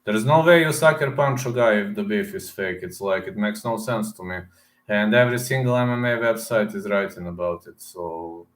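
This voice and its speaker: A man from Serbia with a Serbian accent, hosting a casual live stream, answering chat with lots of fillers and repeated words. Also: on the low side. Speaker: livestream host reading chat.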